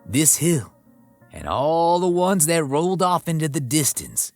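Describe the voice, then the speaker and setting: A man's voice: a little high, big pitch swings, a little slow. A man narrating a western horror story in the first person, in folksy frontier talk full of crude slang, over background music. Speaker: audiobook narrator.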